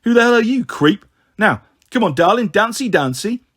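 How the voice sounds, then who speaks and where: A man's voice: high-pitched, lively intonation, normal speed, fairly bright tone, voice pushed up. A man voicing a cocky, drunk young skinhead thug in an audiobook story, leering and menacing with taunting slang. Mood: aggressive.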